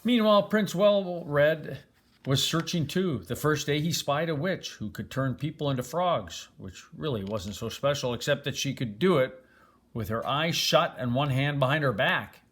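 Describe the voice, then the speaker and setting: A man's voice: a little high, lively intonation, moderate pace, fairly bright tone. Older man reading a storybook aloud. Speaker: grandfather reading a children's book aloud.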